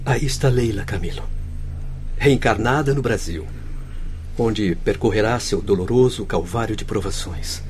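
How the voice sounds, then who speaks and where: A solemn, sorrowful mentor doctor. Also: male voice, on the low side, slight background noise. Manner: solemn, formal, declamatory. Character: grave, sorrowful spirit doctor and mentor, a man. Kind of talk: radio drama acting. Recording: faint music behind. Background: soft background music.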